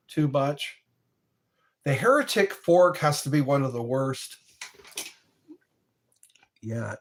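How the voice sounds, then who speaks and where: A middle-aged man hosting a live stream, rambling in casual chat, reacting to viewers and laughing at his own jokes. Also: mid-range voice.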